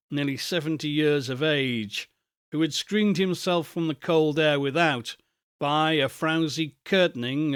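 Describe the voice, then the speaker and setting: A man reading a classic ghost story aloud as an audiobook, in a measured, expressive storytelling manner. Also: a little high, clean tone, no room sound, studio quality. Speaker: audiobook narrator. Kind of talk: scripted narration of a classic novel.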